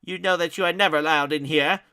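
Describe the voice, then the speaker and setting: Brief: haughty, theatrical blowhard, read by a man, pitched high and loud. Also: fairly even intonation, quick pace, clear, resonant tone. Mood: indignant. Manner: pompous, overly formal diction. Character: pompous, fussy grown man, haughty and theatrical.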